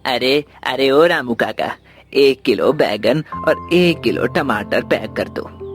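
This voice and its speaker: Village cartoon thug played by a man, mid-pitched, menacing and swaggering, music underneath. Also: expressive, slow. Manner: threatening, swaggering. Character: bullying henchman of a village boss, swaggering, menacing. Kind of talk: scripted cartoon dialogue. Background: background music.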